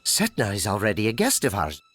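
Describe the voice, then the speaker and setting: A man playing a smug, sneering villainous henchman in a video game cutscene, gravelly, with music behind him. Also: fairly low pitch, wildly swooping pitch, fairly quick. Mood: smug gloating. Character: gloating villainous henchman of a dark god.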